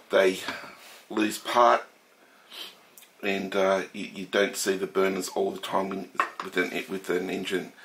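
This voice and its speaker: A middle-aged Australian man with a nasal, stuffed-up voice, narrating a casual collector's video from his workshop, slow and thoughtful with many fillers. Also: fairly low pitch, fairly bright tone, a little hiss or hum. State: recovering from a head cold. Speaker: steam engine collector. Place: home workshop room.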